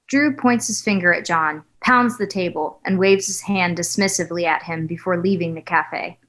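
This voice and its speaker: A woman's voice: on the low side, moderate pace, fairly bright tone. A woman narrator reading screenplay stage directions at a table read.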